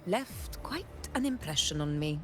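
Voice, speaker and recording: A woman voicing an assured, gracious leader in an anime-style game, speaking in a measured, formal manner. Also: low voice, sing-song, crowd noise. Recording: game music underneath.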